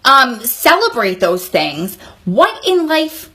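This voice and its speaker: Female, around 40, fairly high voice. Advice vlogger: earnest, conversational, speaking directly to viewers. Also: sing-song, takes it slowly, pushed and bright. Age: 39, nearly 40. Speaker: vlogger advising prison wives. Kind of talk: candid advice vlog, talking to camera.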